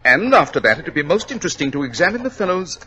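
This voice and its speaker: Gentleman detective, brisk and coolly confident, forceful husky voice, fairly high, precise diction, old radio drama. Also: very animated, full character voice. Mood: keen, assured. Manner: precise, authoritative, formal. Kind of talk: 1940s radio drama acting. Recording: dull old radio recording.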